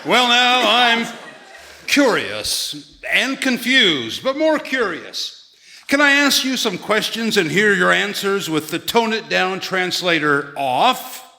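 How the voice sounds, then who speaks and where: A man's voice: high-pitched, sing-song, slow, gravelly, bright, forceful tone, exaggerated voice. A man playing an eager, folksy church pastor in a live comedy sketch, with grandiose, exaggerated delivery. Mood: curious. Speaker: sketch performer and announcer. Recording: live hall with long reverb, music.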